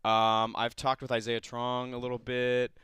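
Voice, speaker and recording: A young college-age man chatting as a studio guest, his voice bright and smooth at a medium pitch. He rambles earnestly with frequent restarts. The studio sound is close and dry. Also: completely flat delivery, normal speed, no room sound. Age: young adult. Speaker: student club president, studio guest. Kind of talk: casual interview chat. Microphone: studio mic.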